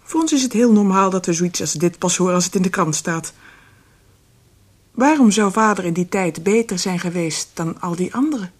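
A woman's voice: lowish voice, expressive, normal speed. A woman playing a sober, bitter grown-up daughter in a radio drama, reflective and resigned. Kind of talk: Dutch radio drama acting.

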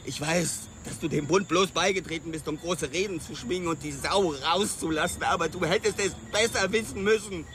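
Male actor as embittered young cop, slightly high voice, accusing, radio play, noise behind. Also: a little fast, hardly any pauses, fairly bright tone, lightly acted. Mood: bitter, accusing. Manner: reproachful, accusing. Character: bitter young policeman son, reproachful toward his father. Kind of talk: radio drama acting.

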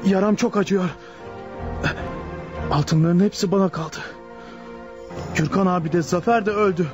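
A man's voice: high-pitched, steady tone, a little slow, muffled recording, music in the background. An actor playing a desperate, wounded male outlaw in a radio play, barking threats and orders. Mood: pained, triumphant. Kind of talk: Turkish radio theatre acting.

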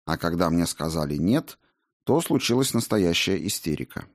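A man's voice: sits low, animated, fairly quick. A man reading a first-person book aloud as scripted narration. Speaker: audiobook narrator.

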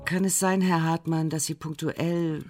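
An actress playing a composed psychotherapist in a naturalistic radio drama, asking careful, measured questions with small hesitations. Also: female voice, deep voice, fairly even intonation, darkish tone, faint music behind. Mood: careful, probing. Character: composed, probing female psychotherapist.

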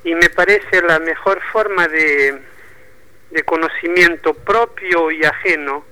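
A Portuguese man with a Portuguese accent in Spanish, touched with Argentine Spanish, talking slowly as a radio guest. His voice is sharp and bright and sits a little high for a man. He stops and repeats words often while he looks for the right phrase. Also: poor audio. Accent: Portuguese speaking Spanish, with a touch of Argentine Spanish. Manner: thoughtful, halting, repeats words while searching. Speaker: poetry bookshop owner, guest. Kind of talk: radio interview.

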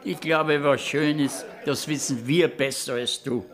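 An actor playing a smug, progress-minded village councillor in a radio drama, declamatory. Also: male voice, on the high side, fairly even intonation, unhurried, fairly bright tone. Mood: smug, insistent.

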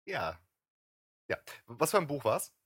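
A man's voice: on the high side, sing-song, measured pace, a bit bright, slightly rough, no room sound, studio quality. A man co-hosting a casual streamed podcast, teasing and needling his co-host.

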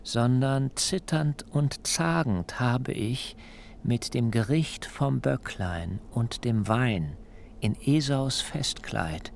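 A biblical fugitive in a radio drama, frightened and full of excuses. His creaky voice pleads slowly and theatrically, sinking at times into hushed near-whispers. There is clear ambient noise behind him. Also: mid-range voice. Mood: trembling, contrite. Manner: theatrical, beseeching, rhetorical questions, hushed dips into whisper. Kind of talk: literary radio drama acting.

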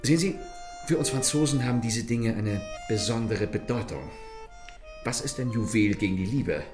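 An actor playing a suave, mocking French gentleman thief in a German radio crime comedy, theatrical and ironically polite. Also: medium pitch, normal speed, over music, lightly acted. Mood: wistful, proud.

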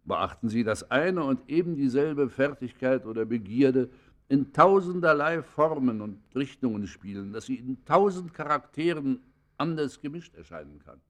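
An older actor playing a venerable, humane old judge in a classic radio play, speaking in a grave, formal, philosophizing manner. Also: male voice, mid-range voice, animated, moderate pace, lightly acted. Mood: reflective. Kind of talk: classic German radio play acting, literary and declaimed.

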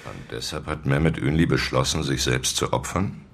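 An actor playing a blunt, world-weary journalist in a radio drama, speaking in a direct, dry and pressing way. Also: male voice, rumbling low, moderate pace, gravelly, full character voice. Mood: probing, dry. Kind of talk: German radio drama acting.

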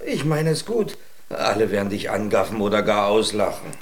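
An actor playing a shrewd, stingy farmer with a coaxing manner in a children's radio play. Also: male voice, fairly low pitch, very animated, normal speed, a little hiss or hum. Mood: cautioning, sly. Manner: calculating, coaxing. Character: shrewd, stingy farmer, falsely kindly husband.

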